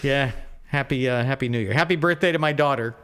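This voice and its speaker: A man's voice: mid-range voice, quick pace, noisy background. Wry, grumbling middle-aged man chatting. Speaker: podcast host. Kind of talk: casual phone chat.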